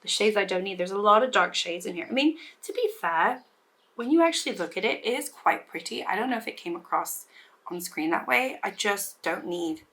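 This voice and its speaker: Hoarse woman chatting through her makeup. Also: mid-range voice, expressive, fairly quick, a bit bright. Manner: rambling, self-deprecating, many fillers and restarts. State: recovering from lost voice, hoarse. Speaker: beauty vlogger. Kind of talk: casual get-ready-with-me chat.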